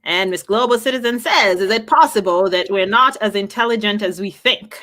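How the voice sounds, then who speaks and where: Woman host in a discussion, medium pitch, conversational, questioning, says what she thinks. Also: moderate pace, pushed and bright. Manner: opinionated, questioning. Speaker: host reading audience comments.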